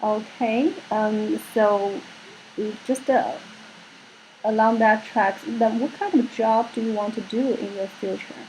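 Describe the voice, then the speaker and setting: A woman's voice: a little high, steady tone, unhurried, a little mellow, slight background noise, rough recording. A woman interviewing a student, who asks questions in an encouraging way and keeps prompting him along. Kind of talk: admissions interview.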